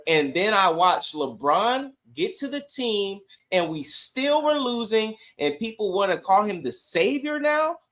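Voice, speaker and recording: A man's voice: high voice, very animated, measured pace, few pauses, fairly bright tone. A man on a sports podcast arguing casually and emphatically, often repeating phrases to drive his point home.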